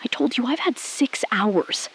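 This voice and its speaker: A woman playing a calm, resolute astronaut-scientist in an audio drama, speaking in precise, measured, clipped sentences. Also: a little high, slight background noise, low-quality recording. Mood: controlled, resolute. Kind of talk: scripted audio drama acting.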